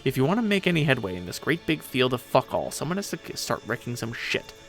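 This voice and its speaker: A man's voice: medium pitch, sing-song, quick pace, fairly bright tone, soft background music. A man voicing a brash, sarcastic, boastful female pirate ghost in a dramatic story reading. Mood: exasperated explaining. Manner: impatient, sarcastic, swearing.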